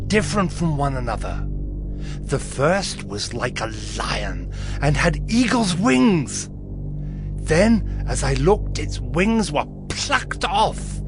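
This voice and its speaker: Slow, solemn prophet Daniel over music. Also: male voice, fairly high pitch. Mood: awed. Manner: solemn, deliberate storytelling. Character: awestruck prophet Daniel recounting terrifying night visions. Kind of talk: dramatized scripture reading. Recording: dramatic music bed behind the voice.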